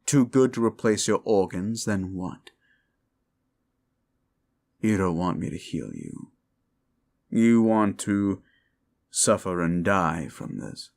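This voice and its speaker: A man playing a sinister, mocking demon in a roleplay ASMR style, slow and theatrical, with a gravelly voice and condescending, drawn-out words. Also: deep voice, very animated, a little mellow, full character voice. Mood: mocking, exasperated. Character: sinister mocking male demon, condescending soul-hungry tempter. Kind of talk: roleplay comfort ASMR acting.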